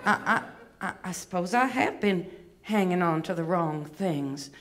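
A woman's voice: fairly low pitch, a little slow, a bit bright. This is a woman acting out a puppet wombat who fancies herself a Southern belle socialite. She has a Southern US accent and talks dramatically, with fussy self-importance and folksy endearments, as in a children's puppet show. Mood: humbled.

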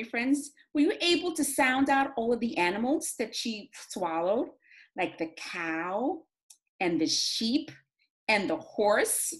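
A woman in her forties teaching young children in an expressive, encouraging, sing-song way, as in a read-aloud and craft lesson. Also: medium pitch. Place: small indoor home classroom. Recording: roomy, echoey home room sound.

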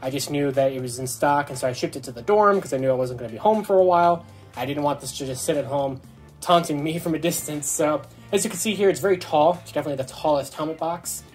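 A man's voice: fairly high pitch, fast, darkish tone, soft background music. A young man giving a casual, enthusiastic unboxing review to camera in an informal, chatty way. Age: young adult. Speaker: toy review vlogger. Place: small dorm bedroom, indoors.

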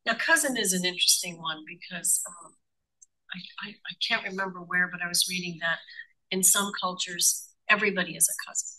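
A woman taking part in a workshop chats casually and stops to find her words. Her voice is low but very bright. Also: fairly quick, low-quality recording. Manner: conversational, searching for words. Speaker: workshop participant. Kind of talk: casual chat.

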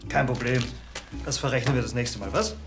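An actor playing a naturopath in a TV crime comedy, chatting and explaining in an unhurried way. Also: male voice, medium pitch, over music, lightly acted. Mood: soothing, breezy. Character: breezy, soothing male naturopath treating a patient. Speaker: alternative practitioner.

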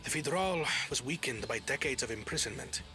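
A man playing a measured, articulate and cautious scholarly young prince in a video game. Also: medium pitch, lively intonation, music in the background, lightly acted. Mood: cautioning. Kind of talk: dramatic video game acting.

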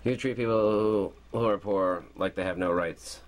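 A man's voice: lowish voice, normal speed, lightly acted. A man playing an embittered, rambling spaceship commander in an amateur science-fiction audio drama, agitated and defiant. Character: embittered male spaceship commander and struggling artist, ranting defiantly. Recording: very noisy home-made tape recording.

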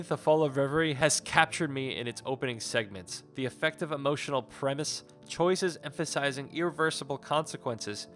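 A man reading a scripted game review in a measured, formal way. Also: medium pitch, a bit bright. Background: background music.

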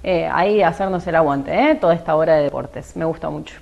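A woman's voice: low-pitched, fast, gravelly, dull audio. A young woman with a Rioplatense accent hosting a local TV sports show, bubbly and giggly. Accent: Rioplatense Spanish. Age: young adult. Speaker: TV host. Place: TV studio set.

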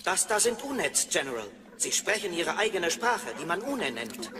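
An actor playing a fussy, indignant translator droid in a radio play, prim, formal and precise. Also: male voice, sits high, big pitch swings, normal speed, crowd noise. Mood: formal, reporting. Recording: reverberant studio drama with music.

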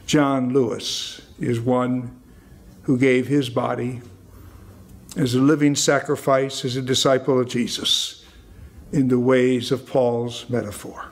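An older American man preaching a sermon as a pastor, with deliberate, emphatic phrasing and pauses. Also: medium pitch, level tone. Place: indoors at a church lectern.

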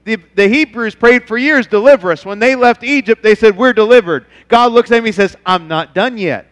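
Middle-aged pastor preaching from the stage, smooth and resonant, long church reverb. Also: male voice, high-pitched, a little fast. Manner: animated preaching, acts out God's voice in quotes. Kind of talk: sermon.

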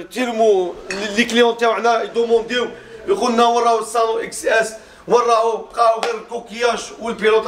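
A man in his thirties with an Algerian accent, mixing in French words as he presents a sofa set in a small furniture showroom with an upbeat, laughing sales pitch. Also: fairly bright tone. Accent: Algerian Arabic with French mixed in. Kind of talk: casual product showcase video.

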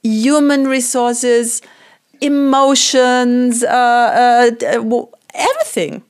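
A German-speaking woman hosts an interview in English, with a fairly high voice. She speaks thoughtfully, pausing to search for words and leaning on filler phrases as she goes. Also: steady tone, smooth. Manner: searching for words, many fillers like "you know". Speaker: podcast host. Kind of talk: conversational interview.

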